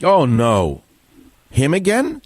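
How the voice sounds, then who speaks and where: A man's voice: a little high, huge pitch swings, slow pace, no room sound. An older man with a New York accent hosting a radio call-in interview, asking combative, blunt questions with rising emphasis. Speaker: radio talk show host.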